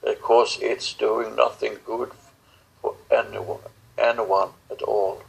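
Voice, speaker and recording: Very slow, gravelly man, halting delivery. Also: lowish voice, flat delivery, poor audio. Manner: halting, solemn, broken phrases with long pauses. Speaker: channeler speaking as star beings in a guided session. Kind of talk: channeled spiritual message.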